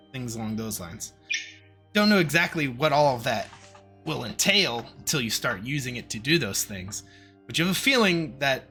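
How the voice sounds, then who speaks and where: A man's voice: mid-range voice, sharp tone, slightly rough. A man acting as game master, narrating a tabletop game in a descriptive, conversational way with casual comparisons.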